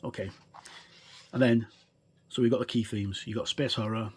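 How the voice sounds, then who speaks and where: A man's voice: lowish voice, fairly even intonation, normal speed, slight background noise. A middle-aged man giving a casual, unscripted walkthrough as a reviewer, explaining things informally with frequent hesitations. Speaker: tabletop game reviewer.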